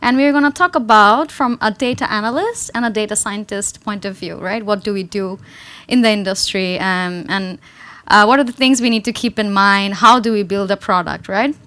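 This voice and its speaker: A woman's voice: medium pitch, normal speed, bright, forceful tone. A woman from Bangladesh, a data analyst opening a presentation in an engaging way and often adding "right?". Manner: engaging, with frequent tag "right?". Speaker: data analyst and engineer, speaker. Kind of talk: presentation talk.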